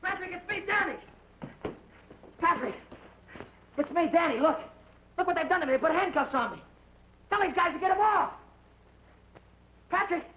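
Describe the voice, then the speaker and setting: An actor playing a hot-tempered, desperate young brother, short and tough, pleading and shouting frantically in an old radio drama. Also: very high-pitched, animated, normal speed, pauses often. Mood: frantic, defiant. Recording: muffled, noisy old radio recording.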